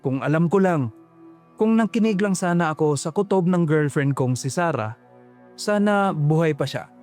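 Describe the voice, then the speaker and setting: A man tells a first-person horror story in a slow, ominous, reflective voice, pitched a little high. Faint music runs under his narration. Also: lively intonation, a little mellow.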